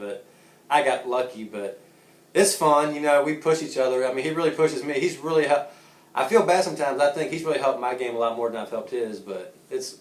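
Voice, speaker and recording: A man's voice: medium pitch, recorded from a distance. A man in his thirties from Savannah answers questions casually, joking and laughing as he goes and restarting his sentences often. He sits back from the mic in a roomy, echoing space. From Savannah, Georgia. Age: 30s. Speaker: golfer.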